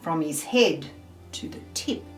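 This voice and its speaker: A woman reads a picture book to young children in a lowish voice. She is theatrical and deliberate, with lively intonation, in an echoey room. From Australia. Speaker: storyteller, school librarian. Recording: roomy echo, faint music behind.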